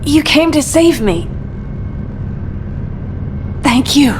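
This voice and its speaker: An actress voicing a protective, resolute mother in a video game cutscene, speaking calmly, firmly and tenderly. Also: high-pitched, extremely slow, full character voice. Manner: calm, firm and tender. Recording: roomy and distant, with noise.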